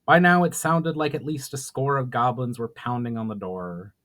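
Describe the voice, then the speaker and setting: A man's voice: mid-range voice, fairly even intonation, normal speed, fairly bright tone. A man narrating a fantasy novel as its author, in a dramatic storybook reading style.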